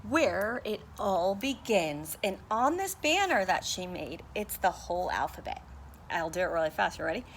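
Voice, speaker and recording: A woman's voice: lowish voice, big pitch swings, a little fast, gravelly, a little hiss or hum. A woman teacher leading a morning meeting for young children, singing the days and reading a story aloud in a sing-song, patient way.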